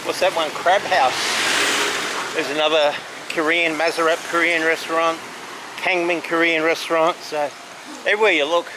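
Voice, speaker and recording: A man giving a casual, halting walking commentary as he points out sights outdoors. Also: fairly high pitch, level tone. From Australia. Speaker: walking tour vlogger. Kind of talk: casual walk-and-talk commentary. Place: outdoors, walking along a city street. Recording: outdoor street recording with clear traffic noise. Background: street and vehicle noise.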